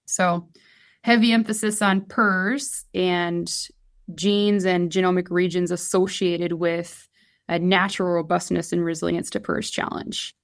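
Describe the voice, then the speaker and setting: A woman's voice: lowish voice, fairly even intonation, measured pace. A woman, explaining her field as an expert guest on a podcast. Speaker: guest expert, swine geneticist. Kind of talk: explanatory podcast interview talk.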